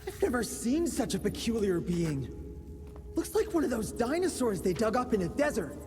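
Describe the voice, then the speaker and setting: A jittery young genius engineer in a game cutscene, his lively voice leaping up and down as he rambles and stammers. Loud music plays behind him. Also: very high voice, normal speed. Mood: nervous. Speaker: inventor character in a video game.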